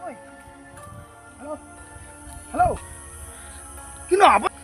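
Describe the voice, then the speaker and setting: High voiced guy vlogging in the woods at night, jittery, keeps repeating himself, music faint underneath. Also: measured pace. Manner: jittery, excitable, repeats words. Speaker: vlogger host. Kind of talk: ghost-hunting vlog narration.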